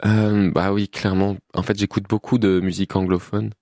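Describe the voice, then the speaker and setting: A man in his twenties from Belgium, a musician guest chatting casually in an interview, relaxed with frequent laughs and fillers. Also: sits low, moderate pace, dry recording, clean studio sound. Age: 20s.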